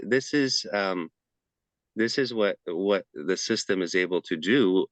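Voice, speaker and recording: A man's voice: low voice, fairly bright tone, dry recording. A man giving a casual presentation over a video call, chatty and loose, with frequent fillers and restarts.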